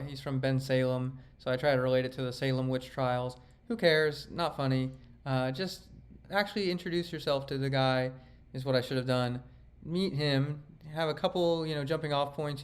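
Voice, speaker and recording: A man, a comedian, talks through his own stand-up set in a reflective, self-critical way, rambling with frequent restarts. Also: mid-range voice. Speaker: comedian and vlogger.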